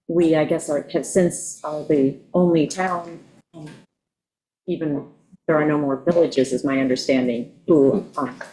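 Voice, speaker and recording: Low warm-voiced woman, sore throat going hoarse, lots of ums running a town board meeting. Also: fairly even intonation, slight background noise, poor audio. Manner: halting, many ums. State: sore throat, losing her voice. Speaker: town supervisor chairing a meeting. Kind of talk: chairing a public meeting.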